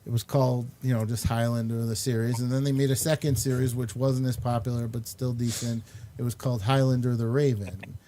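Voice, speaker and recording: A man's voice: mid-range voice, fairly even intonation, a little fast, dark tone, a little hiss or hum. A man hosting a casual panel chat, rambling through his points with many ums and uhs. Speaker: show host.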